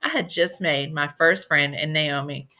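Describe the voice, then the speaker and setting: A woman reading a children's novel aloud as an expressive storybook narrator. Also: sits low, moderate pace. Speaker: story reader.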